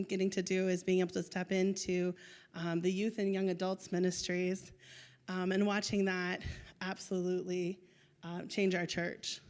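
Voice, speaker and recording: A woman's voice: mid-range voice, smooth. A young woman giving a chatty, upbeat missionary testimony at church into a handheld mic, with many ums. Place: church sanctuary. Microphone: handheld microphone.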